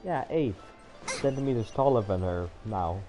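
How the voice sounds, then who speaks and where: A man streaming a game, chatting casually and thinking out loud in a rambling way. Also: fairly low pitch, lively intonation, normal speed, faint music behind, poor audio. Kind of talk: casual livestream chat while gaming.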